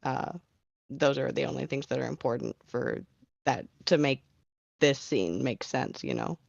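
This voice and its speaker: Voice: mid-range voice, animated, unhurried, creaky, no room sound, muffled recording. A screenwriter casually and hesitantly explaining their script in an online meeting.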